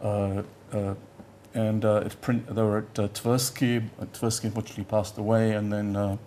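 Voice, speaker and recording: A man's voice: fairly low pitch, little pitch movement, moderate pace, dark tone, slight background noise. An older man, a professor whose first language is Yiddish, giving a conference lecture in a conversational, blunt way, often stopping to search for words and repeating himself.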